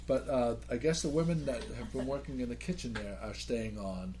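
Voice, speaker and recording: A man's voice: mid-range voice, moderate pace, warm tone, slight background noise, rough recording. Easygoing man hosting a chat. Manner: easygoing, joking, reads announcements aloud. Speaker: podcast host. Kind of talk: casual chat.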